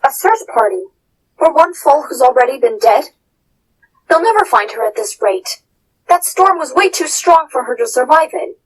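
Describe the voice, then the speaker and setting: A woman's voice: high-pitched, very animated, moderate pace, rough recording. A woman voicing royal pony princess sisters in a fan story, with formal, dramatic delivery. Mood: scornful. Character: two royal pony princess sisters, one scornful, one earnest.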